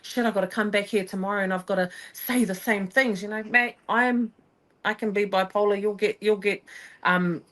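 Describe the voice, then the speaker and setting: A woman's voice: mid-range voice, normal speed. A woman chats as a guest on a podcast. She talks in bursts, full of energy, breaking off and restarting her sentences as her thoughts run ahead. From New Zealand. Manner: energetic, rambling, self-interrupting. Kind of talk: casual conversation.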